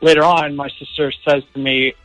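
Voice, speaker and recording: A man's voice: fairly high pitch, flat delivery, normal speed, fairly bright tone, no room sound, poor audio. A young man calling a radio show, telling a personal story in a casual, earnest way. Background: music.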